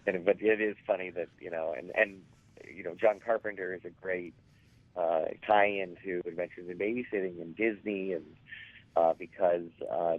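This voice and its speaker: A man in his forties with a raspy, hoarse voice, telling stories as an interview guest and rambling with many fillers and restarts. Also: low voice, no echo. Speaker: actor guest. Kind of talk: casual interview storytelling. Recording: tinny phone line.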